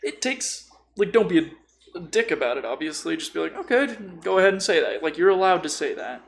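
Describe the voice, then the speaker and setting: A man's voice: on the high side, sing-song, fairly quick, gravelly. A man chatting casually as a podcast co-host and dungeon master, blunt and given to swearing. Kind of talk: casual chat about tabletop role-playing. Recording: faint background noise.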